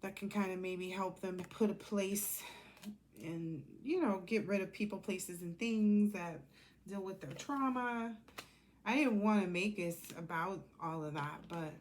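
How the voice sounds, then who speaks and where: A woman's voice: mid-range voice, unhurried, a little mellow, a little hiss or hum. A woman giving a casual, intuitive tarot reading, speaking informally and bluntly as she drifts between asides. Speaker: tarot reader.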